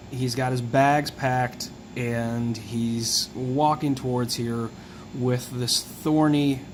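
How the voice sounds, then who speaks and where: A hoarse man hosting a video, explaining in a relaxed, musing way as he walks through details one by one. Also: medium pitch, noisy background. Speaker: tarot video host. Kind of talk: casual explanatory talk.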